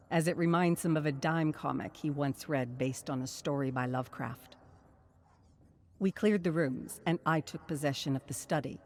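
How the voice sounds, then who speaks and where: An actor playing a composed expedition leader who narrates a game journal in a measured, matter-of-fact way. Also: mid-range voice, lightly acted. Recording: clear noise and ambience behind voice.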